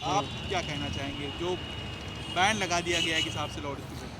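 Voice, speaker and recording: High-pitched reporter asking questions. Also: male voice, fairly quick. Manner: brief prompting questions. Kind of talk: street interview questions. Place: outdoors by a busy street. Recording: clear traffic noise.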